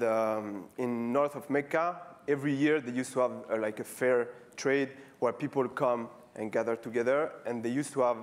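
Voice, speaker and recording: French guy, 30s, talking on stage, lots of um and like, restarts his words. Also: medium pitch. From France. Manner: halting, many fillers and restarts; casual, friendly, repeating words. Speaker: street artist giving a talk. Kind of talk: conference talk. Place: stage before a live audience.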